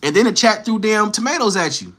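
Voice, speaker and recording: A man's voice: sits high, lively intonation, brisk, pushed and bright. A man livestreaming, bantering with and teasing his chat in slangy street talk, firing challenging questions.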